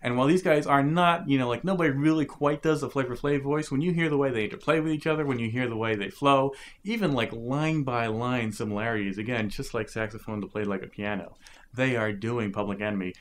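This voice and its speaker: A man's voice: mid-range voice, brisk. A man talking casually about old hip hop records, thinking aloud with frequent fillers. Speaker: music reviewer.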